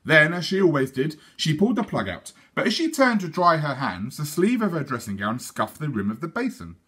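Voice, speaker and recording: A man reading a children's story aloud like a teacher, in a measured, expressive way. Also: on the high side, breathy edge. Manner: measured, animated.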